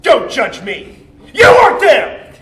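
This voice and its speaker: A man's voice: fairly high pitch, animated, moderate pace, a bit bright. A man on stage playing a cocky, bitter young sperm cell, telling his story as a slangy, swearing, defensive bro in a dramatic comic monologue. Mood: angry, defensive. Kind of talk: theatrical comic monologue, confessional storytelling to audience. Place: indoor theatre stage, recorded from a distance. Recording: roomy, distant stage recording with faint noise.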